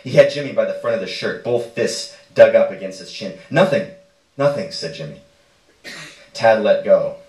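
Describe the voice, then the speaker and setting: Low-voiced man reading, echoey and distant. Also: expressive, moderate pace, darkish tone. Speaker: story reader. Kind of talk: live literary short story reading. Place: indoor live stage reading. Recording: echoey room, distant microphone.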